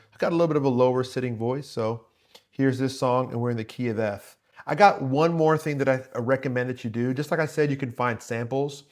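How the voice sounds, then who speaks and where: A man hosting a casual songwriting tutorial, talking to viewers in a conversational, encouraging and explanatory way. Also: mid-range voice. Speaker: host, worship songwriting teacher.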